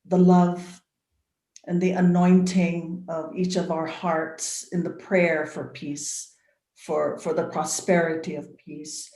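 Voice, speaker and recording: Slow, mellow older woman blessing. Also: fairly low pitch. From Hawaii. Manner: soft and meditative, with drawn-out pauses and repeated phrases. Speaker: invited speaker. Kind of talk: slow, prayerful spoken blessing. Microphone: video call. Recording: echoey room on a video call.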